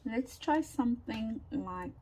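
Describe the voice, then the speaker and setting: Slow-talking woman with a sore throat. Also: fairly high pitch, animated, warm tone. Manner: slow, chatty, with frequent ums and laughs, drifting into whispers. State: recovering from lost voice, sore throat. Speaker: craft vlogger. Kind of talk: casual crafting tutorial chat.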